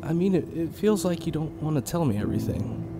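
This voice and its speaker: A man's voice: a little high, very animated, quick pace, background noise. A man playing an impatient, wisecracking young sidekick in an audio drama, nervy and flippant. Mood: curious, flippant.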